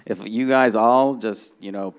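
A man's voice: on the low side, fairly even intonation, measured pace, clean tone. A middle-aged man explaining technical matters informally on a conference panel, often checking in with "right?", speaking into a handheld microphone. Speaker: conference panelist, software developer. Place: conference room stage before a seated audience.

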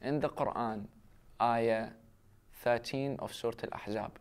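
A young man who grew up in Syria and then the UK talks with friends at a table. His voice sits at a middle pitch, and he reflects earnestly, often posing questions to himself as he goes. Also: a little slow, creaky. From raised in Syria until twelve, then the UK. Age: young adult. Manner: earnest, self-questioning, frequent rhetorical questions. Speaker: panel discussion participant. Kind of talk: casual round-table discussion, personal reflection. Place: indoor restaurant-style set with brick walls, seated at table. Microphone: lapel mic.

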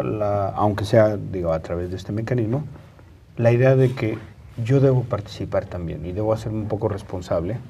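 A man in his fifties with a Mexican accent and a husky voice, explaining things as a guest in a studio interview. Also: fairly low pitch, dark tone, slight background noise. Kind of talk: explanatory panel interview talk. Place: TV studio, indoors, round table. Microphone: lapel mic.

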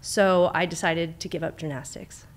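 Guest, young woman from Southern California, slightly low voice, chatty and open with lots of "um" and "like". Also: fairly even intonation, normal speed. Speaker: clinical director, therapist, guest. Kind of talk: casual interview, personal story. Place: studio with brick wall. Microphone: studio mic on boom arm, headphones.

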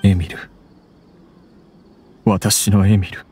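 A man's voice: on the low side, level tone, extremely slow, a little mellow, slight background noise. A man voicing a proud, grieving scholar in an anime game, with bitter, theatrical delivery. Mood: bitter despair. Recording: music under the voice.

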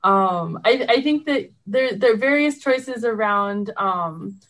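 A woman's voice: on the high side, expressive, slow pace, fairly bright tone. A woman guest in a casual interview, reflecting in hesitant, carefully hedged phrases. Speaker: show co-creator, guest.

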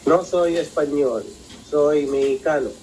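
Man, language student, careful, hesitant, slow, video call, faint noise. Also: fairly high pitch, darkish tone, low-quality recording. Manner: hesitant, careful, self-correcting. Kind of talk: practicing phrases in a class.

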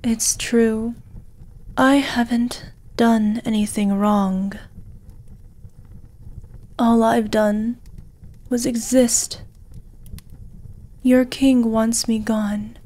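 A woman voicing a gentle, seductive dragon lady in a fantasy ASMR roleplay, soft, breathy and half-whispered, with an intimate, tender manner. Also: a little high.

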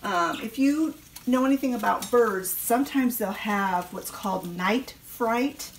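A woman's voice: on the high side, a little slow, slight background noise, low-quality recording. A middle-aged woman chatting casually as she tidies her country home, explaining things as she goes and often restarting her sentences. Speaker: home vlogger. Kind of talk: casual cleaning vlog narration. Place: country house, indoors, rooms with plants and a kitchen. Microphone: handheld phone camera.

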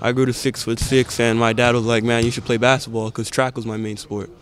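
A teenage basketball prospect from the Bahamas, answering interview questions in a calm, even way into a handheld mic. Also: male voice, mid-range voice, a little fast. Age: teens. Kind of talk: post-game press interview. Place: indoor camp media backdrop. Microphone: handheld reporter mic held close.

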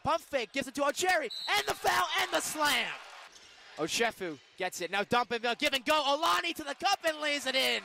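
A man calling basketball play-by-play on the radio, with excitable, punchy calls. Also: extremely high pitch, moderate pace, gravelly. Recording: arena crowd and court noise behind. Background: basketball game in an arena.

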